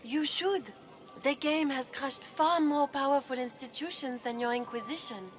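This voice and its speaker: A woman's voice: sits high, moderate pace, natural voice. A woman playing a gossipy, knowing court guest in a video game, genteel and insinuating. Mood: knowing, arch. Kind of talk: video game cutscene acting.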